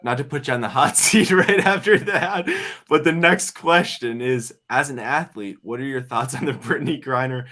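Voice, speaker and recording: A man hosts a casual interview, reading out listener questions. He sounds easygoing and teasing, often breaking into a laugh. His voice sits at an ordinary middle pitch for a man. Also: moderate pace, hardly any pauses, pushed and bright. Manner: laid-back, laughing, teasing. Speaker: podcast host.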